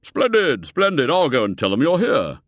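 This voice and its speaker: A booming, jovial old gentleman, as a male narrator puts him on, loud and lively in pitch. He talks heartily and genially. The sound is dry. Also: normal speed, no room sound, close to an everyday voice.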